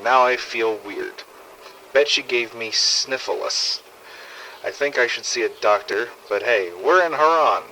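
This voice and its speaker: A brash American tourist brags in a bright, punchy voice. He is slangy, clueless and full of himself, as in a video game audio log with ambient noise behind. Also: mid-range voice, measured pace, low-quality recording. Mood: casual. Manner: slangy, cocky. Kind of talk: game audio log, letter read aloud.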